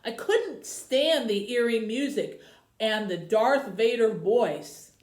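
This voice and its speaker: A woman librarian reading a children's novel aloud and hosting for young viewers, with an expressive storytelling delivery. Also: on the high side, slow. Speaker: librarian host. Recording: roomy, echoey indoor sound.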